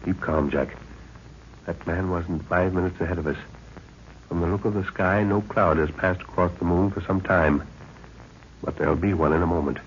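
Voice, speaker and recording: An actor playing a seasoned, calm and authoritative jungle expedition leader in a 1930s radio adventure serial. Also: male voice, low voice. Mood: calm, cautious. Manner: authoritative, deliberate, clipped orders. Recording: scratchy, muffled 1930s radio recording.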